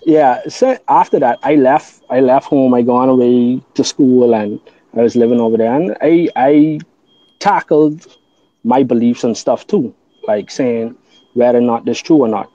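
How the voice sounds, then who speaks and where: A man's voice: medium pitch, measured pace, dark tone, no echo, muffled recording. A man from the Bahamas, a guest on a casual podcast, who explains his beliefs earnestly and at length, often restarting his sentences.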